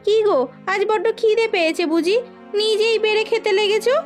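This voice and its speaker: A woman playing an excitable village housewife in a melodramatic cartoon, given to exclaiming and beseeching. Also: very high voice, brisk, faint music behind. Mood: chatty.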